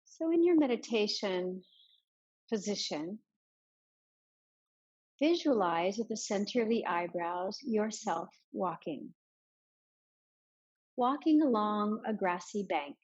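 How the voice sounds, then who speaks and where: A woman leading a guided meditation as a yoga teacher, giving soft instructions with many pauses. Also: mid-range voice, animated, breathy edge, muffled recording.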